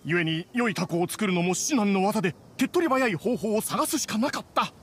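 A man's voice: high voice, expressive, a little fast, breathy edge. A man voicing an ancient, sheepish immortal in a game, speaking in a stiff, formal, explanatory way. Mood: sheepish, confessing. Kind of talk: dramatic game voice acting.

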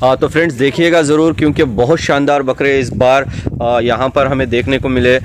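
A man's voice: mid-range voice, fairly even intonation, fairly quick. Chatty man vlogging outdoors, noisy background. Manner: chatty, polite, explains to viewers. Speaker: host and interviewer. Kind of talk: casual on-site livestock vlog interview. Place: open-air fenced goat farm yard. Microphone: handheld camera or phone mic. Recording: clear outdoor noise, animal sounds.

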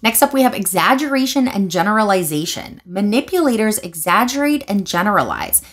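A young woman talking to camera from her living room couch, explaining her views in an animated way with frequent fillers and restarts. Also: medium pitch, a little fast. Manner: animated, gestures with hands while explaining. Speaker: video essayist. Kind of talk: casual commentary vlog.

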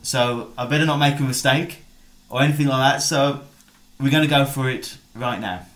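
A man in his thirties with a medium-pitched voice presents a demonstration. He explains things in an informal video made indoors in a house. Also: normal speed, fairly bright tone. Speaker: presenter demonstrating antique recording.

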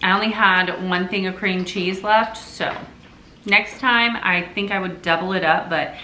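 A woman's voice: medium pitch, steady tone, unhurried, sharp tone, a little hiss or hum, rough recording. A woman in her thirties, a mom and vlogger, talking casually and upbeat while cooking at home, laughing often. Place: home kitchen, indoors.

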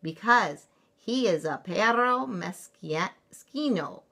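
A woman's voice: medium pitch, expressive, takes it slowly, pushed and bright, pitched above the performer's own voice, heavily acted. A woman voicing a worried chihuahua gang leader in a storybook, exclaiming with Spanish words mixed in.

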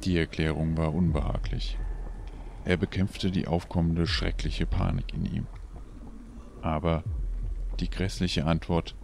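A man playing the grave storyteller of a radio play, narrating in a dramatic, deliberate way with a gravelly voice. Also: low voice, little pitch movement, a little mellow, slight background noise. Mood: ominous. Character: grave, ominous male storyteller.

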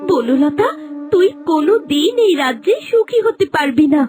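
High-pitched scheming jealous sister. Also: female voice, lively intonation, normal speed, dark tone, no room sound, faint music behind. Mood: vengeful. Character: scheming, jealous young sister plotting revenge, feigning sweetness. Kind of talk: Bengali fairy-tale cartoon acting.

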